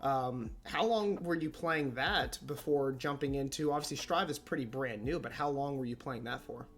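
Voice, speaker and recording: A man's voice: medium pitch, moderate pace. A man interviewing a player for an esports team, upbeat and rambling, often saying how awesome things are. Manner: upbeat, repeats "that's awesome", rambling questions. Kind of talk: casual interview.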